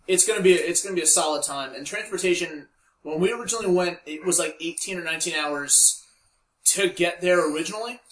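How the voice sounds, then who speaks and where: High-voiced man in an echoey room. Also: fast talker, fairly bright tone. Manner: steers back on topic, laughs often. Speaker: podcast co-host. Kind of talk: casual group chat. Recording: roomy echo.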